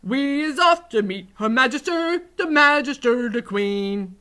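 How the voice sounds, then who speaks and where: A woman voicing a simple-hearted, excitable friendly giant from a children's storybook, with folksy mangled grammar and drawn-out exclamations. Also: mid-range voice. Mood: delighted.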